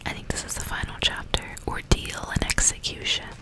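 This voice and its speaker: A woman whispering in a breathy, unvoiced hush, as an ASMR host reading aloud in an unhurried way. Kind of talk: whispered ASMR audiobook reading with casual asides.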